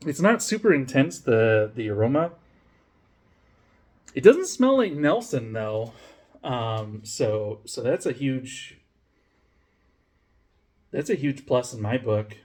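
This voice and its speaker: A man chats his way through a beer review, his voice sitting at an ordinary middle pitch. He rambles, restarts his sentences, drops a swear now and then, and makes wry jokes at his own expense. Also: lively intonation, slow, long pauses, darkish tone. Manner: rambling, self-mocking, profane, many fillers and restarts. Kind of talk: casual rambling review vlog. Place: home room, lives in Denmark.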